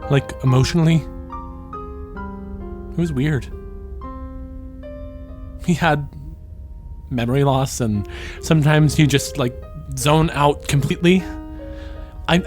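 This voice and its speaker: High-voiced earnest young man acted, music behind. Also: fairly even intonation, normal speed, pauses often, darkish tone, lightly acted. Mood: troubled, reflective. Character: earnest, tentative young man, a recent graduate. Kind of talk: audio drama acting.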